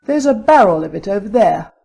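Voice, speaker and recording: A woman playing a brisk, ingratiating, slightly lofty shop saleswoman in an audiobook drama, polite and cheerful. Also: mid-range voice, animated, vocal fry, mellow, husky. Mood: patient, helpful.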